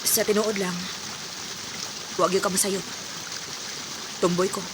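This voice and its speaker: A woman's voice: mid-range voice, level tone, unhurried, very noisy, natural voice. A woman acting as an earnest young Cebuano daughter. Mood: nervous, confessing. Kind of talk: radio drama acting.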